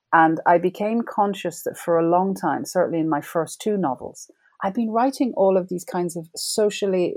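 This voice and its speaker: Low gravelly-voiced woman novelist. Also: a little mellow, no echo. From Jamaica. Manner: thoughtful, reflective, laughs as she talks. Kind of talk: conversational interview.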